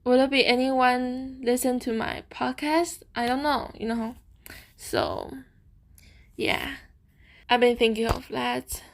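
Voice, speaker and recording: A young woman, a student whose first language is Chinese, chatting casually in halting English, often checking in with "okay?" and laughing softly. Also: sits high, animated, creaky. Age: young adult. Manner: hesitant, halting non-native English, frequent "okay?" tags; slow, thoughtful, giggles often. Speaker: student vlogger and podcaster. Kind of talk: casual chat to camera.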